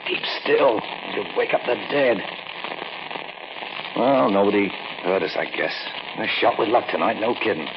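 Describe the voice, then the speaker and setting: A bossy little crook in an old radio play, with a lowish American voice. He talks in terse, impatient commands. The sound is dull and buried in loud crackle. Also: expressive, unhurried, creaky. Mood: impatient, tense. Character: small, spry, bossy male robber leading a break-in. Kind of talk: 1940s radio drama acting.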